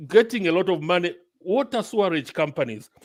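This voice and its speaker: A man on a live online panel, animated and making his points in quick bursts. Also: sits high, no echo, studio quality.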